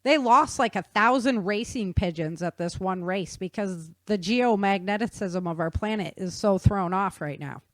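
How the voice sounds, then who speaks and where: A woman explaining things in an animated, conversational way with casual slang. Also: mid-range voice, normal speed, few pauses, dry recording. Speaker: guest author explaining research.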